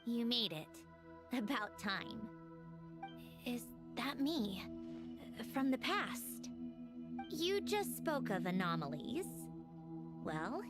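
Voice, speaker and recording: High, haughty young game heroine. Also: female voice. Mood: uneasy, musing. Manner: curt, haughty, dry. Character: haughty, prickly young woman with a machine-like mind. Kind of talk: video game voice acting. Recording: game music behind.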